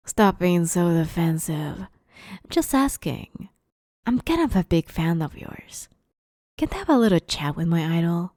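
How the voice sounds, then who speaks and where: A woman playing a playful, smug, menacing vampire interviewer in an ASMR roleplay, teasing and drawling, often slipping into breathy whispers. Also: fairly low pitch, warm tone, no room sound, studio quality. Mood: coaxing, playful. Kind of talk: ASMR roleplay, scripted monologue.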